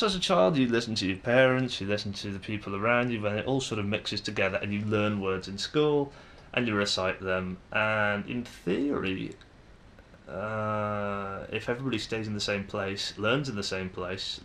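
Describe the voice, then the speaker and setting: A young man with a northern English accent from around Manchester rambles through a casual video monologue, stopping and restarting his sentences. His voice sits on the lower side. A faint rumble runs in the background. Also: a bit bright. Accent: northern English, Manchester area. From northern England. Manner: rambling, self-deprecating, frequent restarts. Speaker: vlogger.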